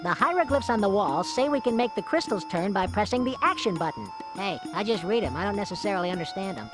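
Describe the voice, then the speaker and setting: A man voicing a wisecracking, quick-witted brother creature in a cartoon video game. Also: high-pitched, expressive, music in the background. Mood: wry.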